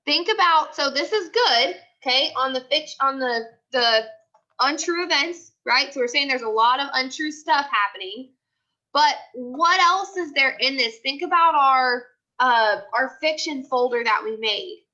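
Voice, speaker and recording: A woman's voice: high-pitched, expressive, unhurried, sharp tone, muffled recording. A woman teacher leading a live online class discussion, prompting with repeated questions and short commands.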